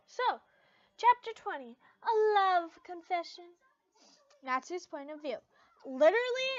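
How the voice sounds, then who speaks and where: A woman hosting a fan fiction reading, reading aloud casually with chatty asides and stumbling over words in an informal, halting way. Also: very high-pitched, a bit bright, dry recording, highs cut off. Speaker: fan fiction reader, channel host.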